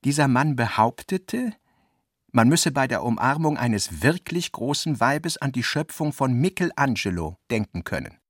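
An actor playing the reflective narrator of a modernist novel in a radio play, with measured, deliberate phrasing. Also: male voice, mid-range voice, big pitch swings, no room sound, clean studio sound. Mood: measured, descriptive.

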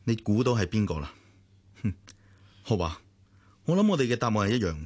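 A man's voice: fairly low pitch, very animated, fairly quick, a little mellow. A man with a Hong Kong Cantonese accent acting a protective but detached elder brother in a radio drama, admonishing and matter-of-fact.

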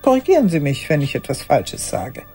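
An actress playing an old woman in a care home, speaking a radio drama monologue in a deliberate, formally polite way to a silent listener. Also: low voice, wildly swooping pitch, warm tone, faint music behind, full character voice. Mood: businesslike. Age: older.

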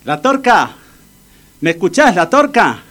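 Husky, wildly animated Argentine best friend. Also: male voice, medium pitch, unhurried. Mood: cocky, insistent. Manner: insistent, theatrical coaxing and boasting. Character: cocky, bossy, devoted best friend of a patient, male. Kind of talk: comic radio theatre acting.

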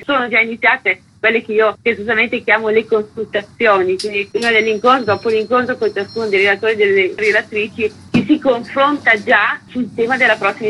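A woman's voice: medium pitch, a bit bright, slight background noise, poor audio. A woman giving formal, articulate, elaborately phrased answers as a festival director in a radio interview.

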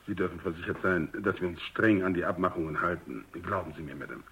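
A man's voice: low-pitched, fairly bright tone. A man playing a courteous general who explains things formally and carefully in a German radio drama, on a dull lo-fi recording. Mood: reassuring, formal. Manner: formal, polite, measured. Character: courteous male general commanding a research base, explaining himself.

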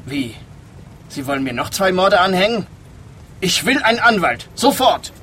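Harried culprit, fairly high voice. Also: male voice, very animated, normal speed, pushed and bright, slight background noise, exaggerated voice. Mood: indignant. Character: aggrieved, harried male culprit confessing bitterly. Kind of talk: German-language radio play acting.

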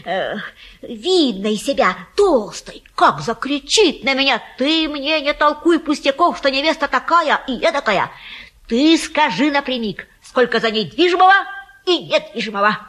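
A garrulous old matchmaker woman in a theatrical comedy. Her voice is high and sharp, with big melodic swings. She coaxes and boasts in a folksy, effusive way. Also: sing-song, measured pace, heavily acted. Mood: gossipy boasting. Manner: folksy, garrulous, effusive, sing-song coaxing. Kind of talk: theatrical stage-comedy acting of a classic play.

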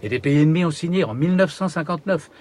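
An actor playing a nostalgic, idealistic Siberian-born polar researcher in a radio drama, telling things in an earnest, reflective way. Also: male voice, a little high, rapid-fire, darkish tone, natural voice. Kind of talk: naturalistic French radio drama acting.

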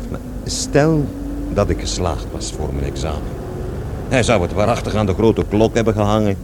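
Male actor for radio drama: aggrieved storyteller, lowish voice, digressive and emphatic, repeats himself; clearly noisy old recording. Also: animated, normal speed, lightly acted. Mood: indignant. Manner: digressive, self-correcting, repeats words emphatically. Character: aggrieved, rambling man recalling his overbearing father. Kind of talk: radio play dialogue, conversational storytelling.

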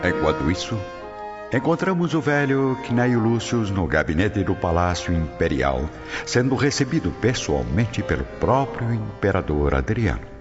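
A deep-voiced man narrates a radio drama from a script. His delivery swings expressively, with music clearly behind and a slightly dull sound. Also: big pitch swings, unhurried.

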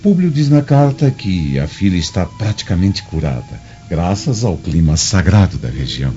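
A man's voice: deep voice, sing-song, moderate pace, dark tone. An actor playing a grave, mature Roman patrician father in a radio drama. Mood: pleased. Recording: dull lo-fi, music behind.

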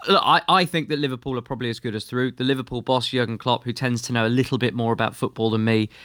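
A brisk man from the United Kingdom presents sports news on breakfast radio, with a lightly wry touch between the results. His voice is dry and close, with almost no room sound. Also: mid-range voice, fairly even intonation, a bit bright, no echo. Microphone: studio microphone.

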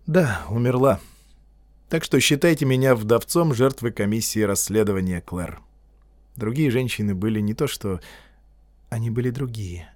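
A man's voice: lowish voice, normal speed, no room sound, close to an everyday voice. A man voicing a guarded, blunt young man who talks in curt, terse answers.

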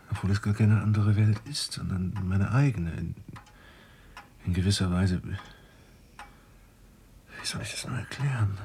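An actor in a German radio drama playing an obsessed, agitated scientist who speaks haltingly and intensely. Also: male voice, lowish voice, dark tone, a little hiss or hum. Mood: entranced. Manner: halting, intense, self-justifying. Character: obsessed, agitated male scientist under an eerie music's spell.

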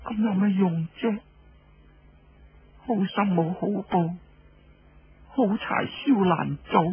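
A man's voice: high voice, lo-fi sound, voice pushed up, full character voice. A man voicing a distraught, stubbornly loyal young wife who pleads in Cantonese-accented radio storytelling. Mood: bitter. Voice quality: husky. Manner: slow, plaintive, pleading.

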